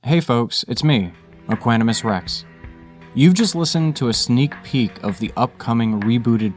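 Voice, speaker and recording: A man reading scripted material as the narrator and host of a fiction podcast. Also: mid-range voice.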